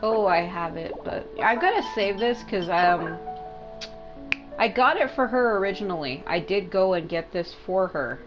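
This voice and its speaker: A woman with a congested, mucusy throat doing casual, sweary game commentary, thinking out loud. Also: mid-range voice, expressive, moderate pace. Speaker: gaming video host. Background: music.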